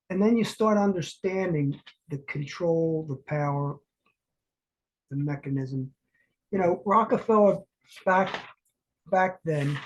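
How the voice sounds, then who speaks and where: A man's voice: fairly high pitch, poor audio. A man hosting a solo podcast, congested from allergies, talking casually with many restarts and repeated words. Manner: repetitive, restarting, uneven emphasis. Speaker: podcast host.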